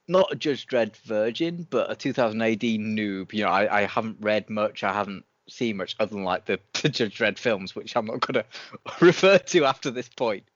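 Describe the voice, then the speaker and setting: A man's voice: fairly low pitch, normal speed, highs cut off. A man co-hosting a podcast review, chatting in an informal, lightly joking manner. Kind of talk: casual chat podcast review.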